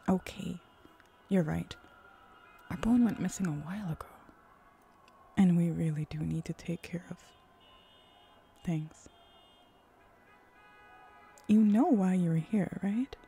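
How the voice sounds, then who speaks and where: A woman voicing a flirty, eager, playful female hellhound in a roleplay ASMR audio drama, teasing and sultry with breathy whispers. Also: fairly low pitch, slow, pauses often, warm tone, faint music behind.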